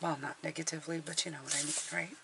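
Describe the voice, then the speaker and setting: A middle-aged woman with a hoarse voice from a cold, chatting casually through a tarot reading with fillers and restarts. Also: low-pitched, level tone, racing, noisy background. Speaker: tarot reader.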